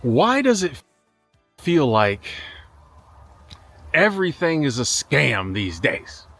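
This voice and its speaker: A man's voice: a little high, sing-song, slow, a bit bright, faint music behind. A middle-aged man delivering an informal, emphatic monologue as an online video creator, in colloquial speech. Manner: colloquial, emphatic, repeating questions.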